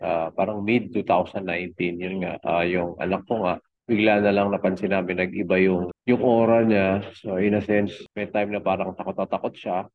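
Low-voiced Filipino man on a tinny line. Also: steady tone, brisk, no room sound. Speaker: podcast guest, fitness coach. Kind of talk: casual conversational storytelling in Taglish. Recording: tinny phone-like connection.

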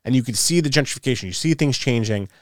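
A man's voice: medium pitch, animated, quick pace, no echo, clean studio sound. A man, ranting in a casual podcast chat, stumbling and restarting often. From New York City. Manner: ranting, many restarts and repeats. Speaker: podcast host.

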